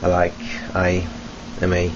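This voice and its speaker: A young man from Sweden with a Swedish accent, introducing himself hesitantly to his webcam in a small room at home, with many pauses and restarts. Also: low-pitched, completely flat delivery. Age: young adult. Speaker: vlogger. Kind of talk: casual self-introduction to webcam. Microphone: webcam at close range. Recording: dull lo-fi webcam audio with hiss.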